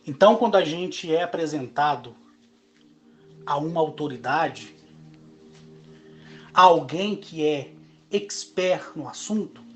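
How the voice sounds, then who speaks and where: A Brazilian man in his forties, speaking in a slow, emphatic monologue broken into short phrases. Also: a little high, lively intonation, faint music behind. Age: 40s. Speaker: channel host. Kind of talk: monologue to camera.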